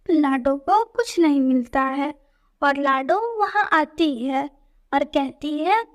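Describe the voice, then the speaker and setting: Casting: female storyteller for children's tales, high voice, unhurried scripted narration.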